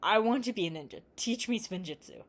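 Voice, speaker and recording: A woman's voice: mid-range voice, expressive, a little fast, voice pushed up. A woman voicing an eager, incredulous young female would-be ninja in a story reading.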